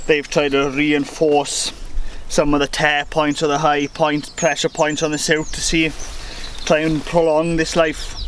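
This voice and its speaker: A young male beekeeper talks casually and upbeat in a slightly higher voice, thinking aloud as he goes. He is recorded outdoors in a rural field by a camera a few metres off. Birdsong and a faint outdoor hiss sit behind him. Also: a bit bright. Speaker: beekeeper reviewing gear. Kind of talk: casual product review vlog. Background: birdsong and faint outdoor ambience.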